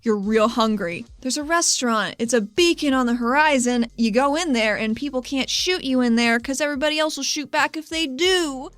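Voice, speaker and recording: Bubbly, higher-voiced woman role-playing. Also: normal speed, hardly any pauses, fairly bright tone, no echo. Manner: bubbly, playful, enthusiastic. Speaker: tabletop game player. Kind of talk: in-character role-play.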